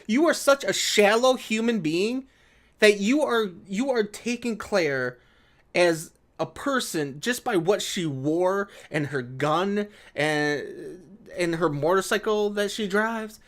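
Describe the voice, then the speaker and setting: A man with a fairly high voice delivers casual reaction commentary for an online video. He is sarcastic and profane, and comes across as exasperated while reading and responding. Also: slow. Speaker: video creator reacting to an article. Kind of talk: casual commentary alternating with reading an article aloud.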